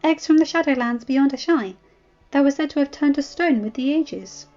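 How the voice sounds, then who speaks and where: A woman's voice: high-pitched, normal speed, darkish tone, pitched above the performer's own voice, natural voice. A woman voicing a bold, earnest young noblewoman in a fantasy story, with direct and formal speech. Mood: eager, curious. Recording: muffled audio with music.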